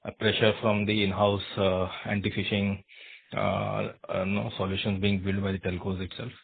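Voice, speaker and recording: A man's voice: fairly low pitch, creaky, breathy edge. A man with an Indian accent, an analyst politely and hesitantly asking questions on an earnings call. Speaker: analyst caller. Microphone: phone call. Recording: muffled narrow phone line.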